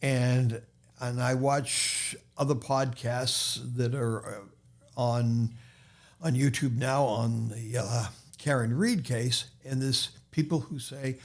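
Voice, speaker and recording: Brief: man, Boston accent, unhurried and halting, podcast guest storytelling. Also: medium pitch, fairly even intonation. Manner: slow, halting, many restarts and fillers. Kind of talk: casual podcast conversation.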